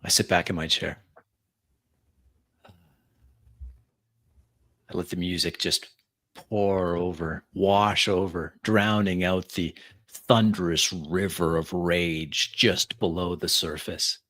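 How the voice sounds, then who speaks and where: Male roleplay player, low register, unhurried pace, deliberate dramatic first-person narration. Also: flat delivery, sharp tone. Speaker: player in a tabletop roleplaying game.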